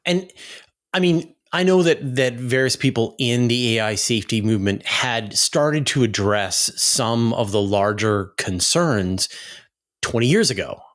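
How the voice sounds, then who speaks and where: A man's voice: medium pitch, lively intonation, measured pace, fairly bright tone. A man hosting an interview in a conversational style, searching for his phrasing as he goes. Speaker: interviewer, channel host.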